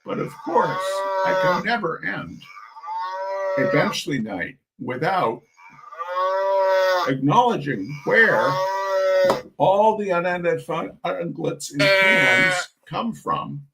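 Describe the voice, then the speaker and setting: A man's voice: high-pitched, animated, slow, gravelly, long pauses, very noisy. A man hosting a reading of humorous essays, theatrical and emphatic, with mock indignation and chatty asides.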